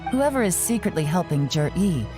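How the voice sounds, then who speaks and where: A woman's voice: fairly low pitch, very animated, normal speed. A woman playing a cool, shrewd investigator in an anime-style video game, composed, knowing and teasingly courteous. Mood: calm, calculating. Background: music.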